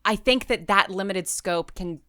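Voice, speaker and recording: A woman talking casually as an interview guest, animated and often restarting her phrases as she explains her creative work. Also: medium pitch, unhurried, dry recording, studio quality. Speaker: guest, audio fiction writer and creator.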